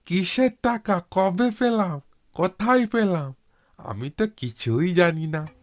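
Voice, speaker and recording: A man's voice: high-pitched, lively intonation, takes it slowly, a little hiss or hum, voice pushed up. A man voicing a sly, cautious old peasant husband in a cartoon story, drawling with feigned innocence. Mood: pleading innocence.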